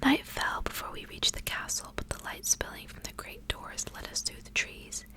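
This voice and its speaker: A woman softly whispering in an ASMR style, reading aloud in a gentle, measured way. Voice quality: soft unvoiced whisper.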